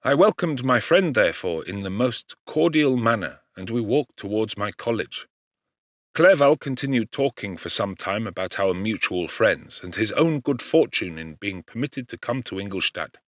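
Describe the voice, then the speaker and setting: A man reading literary narration as an audiobook actor, in an expressive, measured and theatrical manner. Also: fairly low pitch, no room sound, studio quality.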